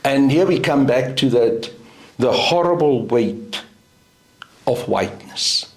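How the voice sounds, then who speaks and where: A middle-aged South African man whose first language is Afrikaans, speaking in a deliberate, emphatic way as an interview guest. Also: medium pitch, expressive. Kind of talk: interview answer. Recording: roomy echo.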